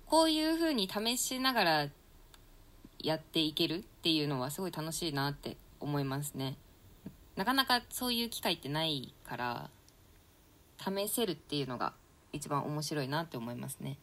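Low-pitched, lively young woman chatting. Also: moderate pace. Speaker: returning guest performer on the show. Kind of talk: casual chat.

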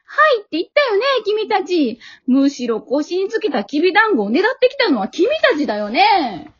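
A woman's voice: very high voice, sing-song, a little fast. A woman playing an earnest, exasperated young boy hero with a scolding, pleading manner, in an amateur comedy radio drama. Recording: dull lo-fi audio.

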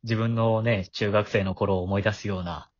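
A man's voice: lowish voice, fairly even intonation, moderate pace, no room sound. A man who works as a voice actor and writer, chatting casually and full of compliments about a voice drama. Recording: dull lo-fi audio.

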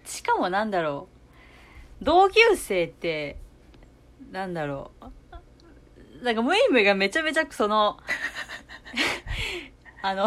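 A young woman in relaxed conversation with a friend. Her voice is fairly high and moves around a lot in pitch, and she giggles easily as she chimes in with short, agreeing replies. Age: young adult. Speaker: co-host guest, amateur voice actor. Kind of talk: casual chat between friends.

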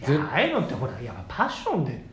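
Animated higher-pitched man, echoey room. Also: racing. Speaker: podcast co-host. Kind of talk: casual comedic chat. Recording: roomy echo, faint background noise.

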